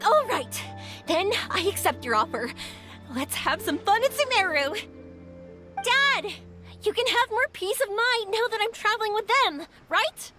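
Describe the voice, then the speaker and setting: Bubbly young game girl, high lively voice, chatty and friendly, faint music. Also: measured pace, heavily acted. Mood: cheerful, excited. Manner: chatty, enthusiastic, exclamatory. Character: bubbly young woman firework maker, friendly and adventurous. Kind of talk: video game voice acting.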